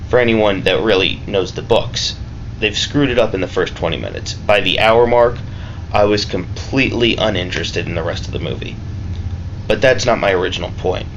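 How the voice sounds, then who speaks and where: A man giving a casual, unscripted review, rambling and halting, with emphatic points and swearing. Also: mid-range voice, dull audio, a little hiss or hum. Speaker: amateur movie reviewer.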